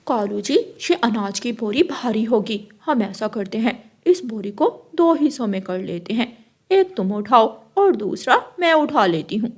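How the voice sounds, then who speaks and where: A scheming crow wife from a cartoon fable, played by a woman. Her voice is high and feminine. She coaxes in a cunning, persuasive manner. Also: expressive, normal speed, a little mellow, breathy edge. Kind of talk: Hindi cartoon story voice acting.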